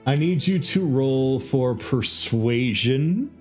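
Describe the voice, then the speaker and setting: A man narrating a tabletop game as dungeon master, with deliberate dramatic pauses and emphasis. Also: medium pitch, expressive, soft background music.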